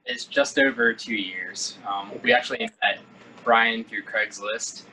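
Laid-back male band singer from Virginia, bright tone, faint background noise. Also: on the low side, unhurried, poor audio. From Virginia, USA. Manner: easygoing, laughs often. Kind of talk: casual interview answers.

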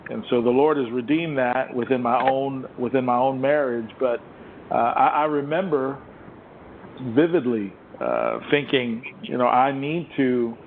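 A man from Puerto Rico whose first language is Spanish, hosting an interview and speaking haltingly, often repeating his words. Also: mid-range voice, animated, vocal fry. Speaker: host. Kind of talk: interview conversation. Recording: muffled phone line, faint hiss.

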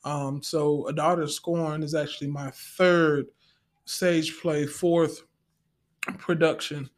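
A woman's voice: low voice, fairly even intonation, slow. An American woman speaking in a casual interview style as a podcast guest. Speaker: podcast guest, stage play actress.

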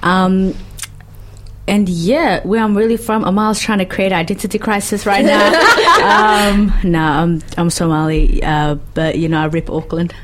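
A woman in her twenties with a New Zealand accent, speaking thoughtfully and in a measured way as a podcast guest. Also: medium pitch, little pitch movement. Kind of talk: reflective conversation.

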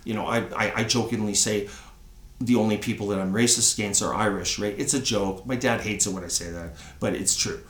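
A man's voice: lowish voice, fairly even intonation, fairly quick, a bit bright, roomy sound. A man in his thirties from Canada, chatting on a podcast, rambling and tagging on "right?" again and again. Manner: rambling, says "right?" often. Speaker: podcast co-host. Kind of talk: casual chat.

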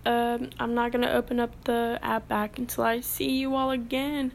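A woman's voice: a little high, level tone, moderate pace, gravelly, slight background noise. A woman in her late teens giving casual, rambling commentary as a vlogger, with the odd whispered aside.

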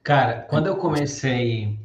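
A man's voice: medium pitch, a little slow, echoey room. A man from Ceará, Brazil, a guest on a podcast, chatting casually about his work and often repeating phrases as he goes. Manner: relaxed, repeats phrases as he talks. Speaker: podcast guest, biomedical course coordinator.

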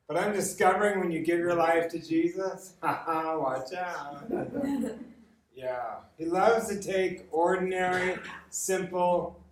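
A man's voice: a little high, noisy background. An American man giving an informal sermon with personal stories, conversational and repeating words for emphasis. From Los Angeles, United States. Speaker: guest preacher. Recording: roomy echo, distant from the mic.

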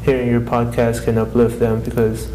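A man's voice: medium pitch, monotone, normal speed, warm tone. A man co-hosting a podcast, talking casually in a laid-back, informal way. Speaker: podcast co-host. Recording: echoey room with faint background noise.

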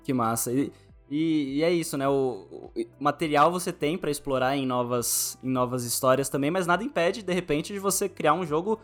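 A man's voice: on the high side, normal speed, faint music behind. A man with a Brazilian accent hosting a casual podcast interview in an informal, slangy, rambling way. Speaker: podcast host.

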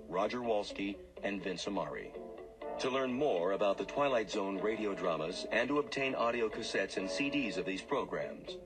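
A male broadcast announcer with a fairly deep voice. He reads scripted radio copy formally, with music clearly behind him. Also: low-quality recording.

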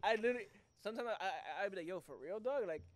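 A man's voice: sits high, expressive, rapid-fire. A young man joking and telling stories in a casual podcast chat, laughing often and using heavy slang.

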